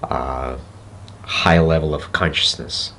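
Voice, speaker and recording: A man with a Russian accent, a native Russian speaker, talking slowly and reflectively to camera and pausing to search for words. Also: very deep voice, fairly even intonation, a bit bright, slight background noise. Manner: slow, deliberate, searching for words with pauses. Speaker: self-development video host. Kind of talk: slow reflective monologue to camera.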